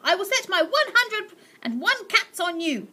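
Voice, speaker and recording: A woman's voice: very high voice, sing-song, measured pace, background noise, pitched above the performer's own voice, heavily acted. A woman voicing a greedy, cat-obsessed rich old aunt who sneers and bellows threats. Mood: furious.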